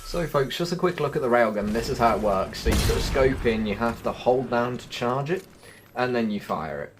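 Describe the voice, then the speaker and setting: A man's voice: medium pitch, expressive, fairly quick, background noise. A man giving casual gameplay commentary as a video host, informal and a little stumbling in how he talks.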